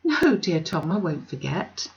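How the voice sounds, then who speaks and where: A woman reader voicing a quiet, reserved young woman from a classic novel, polite and restrained. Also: lowish voice, very animated, unhurried, lo-fi sound. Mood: fond, subdued.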